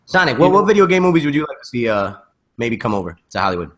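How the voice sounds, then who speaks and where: Fast-talking male panel host. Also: mid-range voice, sing-song, a bit bright. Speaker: host steering a panel discussion. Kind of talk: casual panel chat.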